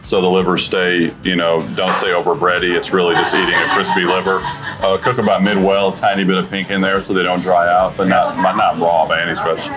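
Low-voiced easygoing restaurant owner. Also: male voice, little pitch movement, brisk. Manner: easygoing, enthusiastic, fillers like "you know". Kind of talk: casual chat about food. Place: restaurant dining room, indoors. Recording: music playing underneath.

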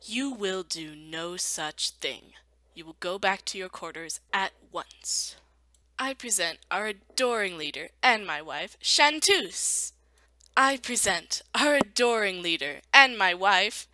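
A woman voicing a composed, commanding royal spouse in a formal, measured manner for animation. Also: medium pitch, big pitch swings, sharp tone, dry recording, heavily acted. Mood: proud. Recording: poor cheap home microphone.

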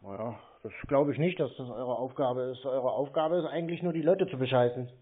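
A man's voice: medium pitch, brisk, husky. A man talking bluntly and sarcastically in a confrontational phone conversation. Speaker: scam-baiter prank call host. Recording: tinny narrow phone-like sound.

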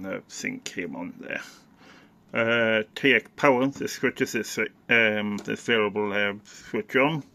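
A man giving a casual, halting, off-the-cuff product demonstration as a hobbyist presenter. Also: lowish voice, gravelly, pushed and bright. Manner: halting, unscripted.

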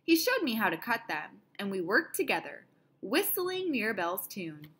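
A woman reading a children's picture book aloud in an expressive style, with deliberate, dramatic phrasing. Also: mid-range voice, slightly rough. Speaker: storybook reader.

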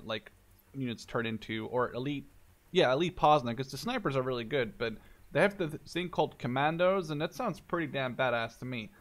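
A man in his twenties giving casual gameplay commentary, thinking aloud in an informal, sweary way. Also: mid-range voice. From Canada-based Dutchman. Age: 20s. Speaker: gaming video host. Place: indoors, voice over gameplay.

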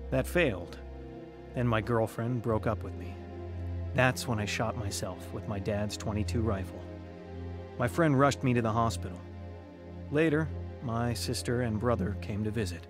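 A man's voice: on the low side, normal speed, pauses often, lightly acted. An actor playing a troubled, searching young man who tells his own story earnestly in a radio drama. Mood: somber, reflective. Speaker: narrator and lead actor. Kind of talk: dramatized first-person radio testimony. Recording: music behind the voice.

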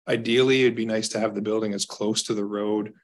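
A Canadian man in his thirties, a staff member reporting to a council over a video call from an office, measured and hesitant with fillers. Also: on the low side, fairly even intonation. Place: small office.

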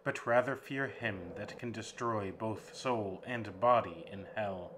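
A man's voice: on the low side, slow pace. A man voicing a battered male captive who faces his captor with firm defiance in a dramatic audiobook reading. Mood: solemn. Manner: desperate, then firmly defiant. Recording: steady background hiss.

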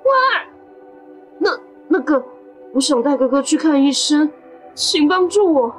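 Woman voicing a high-pitched, determined young boy. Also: unhurried, soft background music. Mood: pleading, defiant. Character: determined young boy worried for his older brother. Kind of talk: amateur audio drama acting.

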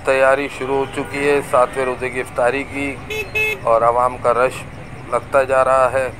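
A man slowly narrating a market street tour from a bike, with traffic and crowd noise behind him. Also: medium pitch, sing-song. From Pakistan. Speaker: vlogger. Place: busy market street outdoors. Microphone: camera on a moving bike. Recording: noisy outdoor street recording.

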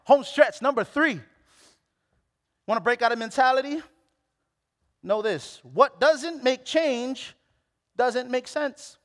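Young man from Hawaii, very high voice, animated preacher, restarts a lot, headset mic, big hall reverb. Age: late 20s. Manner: animated, exclamatory, with many restarts. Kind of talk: sermon. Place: church stage.

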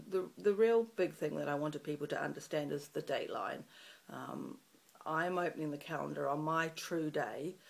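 A middle-aged woman from New Zealand with a New Zealand accent and a croaky morning voice, chatting to a webcam in a small room, rambling with lots of ums. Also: low-pitched, darkish tone. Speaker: craft channel host. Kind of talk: casual chat to camera. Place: small room in front of a festive fabric backdrop.

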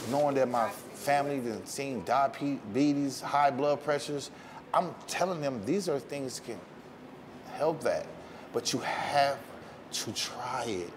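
A man's voice: medium pitch, expressive, takes it slowly, slight background noise. A man in his thirties, a restaurant owner, speaking earnestly and casually in an interview in a small restaurant dining room, on a lapel mic. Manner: earnest, heartfelt, casual speech.

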